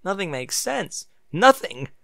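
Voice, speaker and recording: A man's voice: high-pitched, big pitch swings, slow pace, gravelly, pushed and bright, no room sound, studio quality, voice pushed up, full character voice. A man voicing a young male pony student who is anxious, self-doubting and downcast, wordy in a panicky way. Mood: panicky, blurting.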